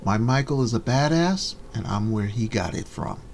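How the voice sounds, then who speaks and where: A man voicing a tough, loving elderly woman pastor in a story reading, with solemn, measured preaching. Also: lowish voice, animated, gravelly, darkish tone, a little hiss or hum. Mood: proud, tough.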